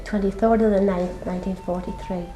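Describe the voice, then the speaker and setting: A woman in her late sixties speaks with a soft, mellow tone. She recalls her past gently, pausing as she goes. The room lends a noticeable echo. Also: medium pitch, fairly even intonation, faint music behind. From County Fermanagh, Ireland. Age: late 60s. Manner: gentle, hesitant recollection. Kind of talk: oral history interview. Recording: roomy echo.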